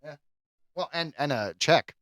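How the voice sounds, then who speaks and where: A man with a bright voice and a lively, swinging pitch. He chats and comments casually as a podcast co-host, recorded dry and close. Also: unhurried, dry recording.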